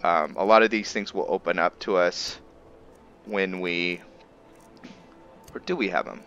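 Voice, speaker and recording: A man giving casual commentary on his roleplay playthrough, haltingly thinking aloud as he goes. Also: deep voice, fairly bright tone, clear, resonant tone, dull audio, faint music behind. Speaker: gamer narrating a roleplay playthrough.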